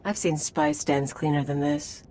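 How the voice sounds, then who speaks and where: Low-voiced sardonic female sidekick. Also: unhurried, mellow, slight background noise. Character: sarcastic, tough female companion adventurer. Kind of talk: video game voice acting.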